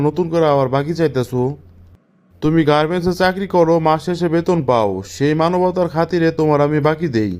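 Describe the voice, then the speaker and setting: A man's voice: fairly high pitch, darkish tone, clean tone, lightly acted. A man voicing a stern, scolding cartoon shopkeeper with a Bangladeshi accent. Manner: scolding, blunt. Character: stern, grumbling male village grocery shopkeeper refusing credit. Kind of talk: cartoon voice acting.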